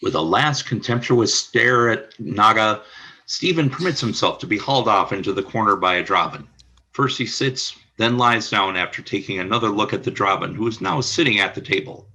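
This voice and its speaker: A man's voice: on the low side, animated, normal speed, bright, forceful tone, slightly rough, poor audio. A man reading the stage directions of a radio play in a plain, matter-of-fact way. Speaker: narrator.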